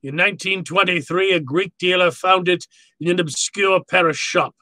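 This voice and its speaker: An actor playing a courtly, gloating villain in a 1940s-style radio drama, with a florid, pompous, theatrical delivery. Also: male voice, high-pitched, normal speed, pushed and bright, no echo. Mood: relishing. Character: courtly, gloating, verbose male villain, obsessive collector.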